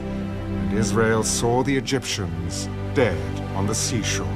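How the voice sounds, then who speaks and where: A man narrating scripture in a solemn, measured, dramatised storytelling style. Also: mid-range voice. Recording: loud music behind the voice. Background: loud dramatic background music.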